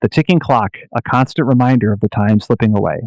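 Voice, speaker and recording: A man narrates a radio play in a solemn, scripted style. His voice is medium in pitch and the recording is dry, with carefully measured phrases. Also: darkish tone, no room sound, rough recording.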